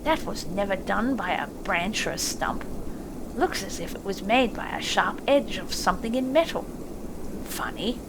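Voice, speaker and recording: A woman's voice: mid-range voice, animated, moderate pace, natural voice. A woman voicing a practical, kindly male rat in a storybook reading. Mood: puzzled. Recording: clearly noisy, sound effects behind.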